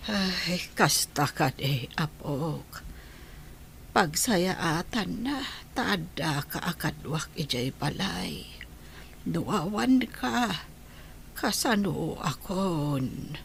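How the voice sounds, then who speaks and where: A woman playing a frail, ailing old grandmother in an audio drama, with an Ilocano Filipino accent and a husky voice. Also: lowish voice, big pitch swings, extremely slow, a little hiss or hum, exaggerated voice. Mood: weary, pained.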